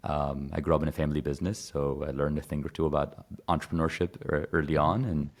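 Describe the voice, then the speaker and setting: A man's voice: extremely low pitch, level tone, fast talker. A man in his thirties from Egypt, a podcast guest talking casually with lots of fillers and restarts. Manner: rambling, many fillers and restarts. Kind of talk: casual conversation.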